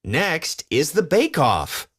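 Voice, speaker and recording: A man's voice: a little high, wildly swooping pitch, slow, pitched above the performer's own voice, full character voice. A man voicing a weary cartoon lemur who serves as a royal adviser and announcer. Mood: announcing.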